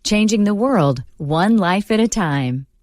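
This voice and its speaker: A woman's voice: mid-range voice, expressive, unhurried, darkish tone, dry recording. A woman reading a scripted radio commercial as its announcer.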